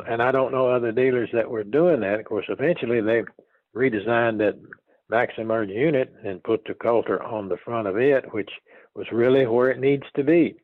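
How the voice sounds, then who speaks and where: A man in his eighties reminiscing in a casual interview, speaking in a folksy, halting way and repeating words and phrases as he goes. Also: fairly low pitch, phone-quality sound. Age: 80s. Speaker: interviewee, retired farm machinery dealer.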